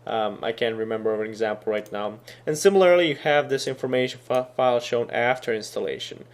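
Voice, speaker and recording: A man whose first language is Serbian talks through a software setup in a relaxed, unscripted way. He thinks aloud, pausing with fillers as he clicks along, at an even middle pitch. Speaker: software tutorial instructor. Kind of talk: screen-recorded coding tutorial.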